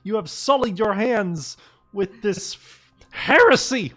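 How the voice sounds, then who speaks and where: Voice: medium pitch, expressive, slow, fairly bright tone, faint music behind, pitched above the performer's own voice. A performer putting on a pompous, zealous inquisitor, indignantly declaiming against heresy. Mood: righteous outrage.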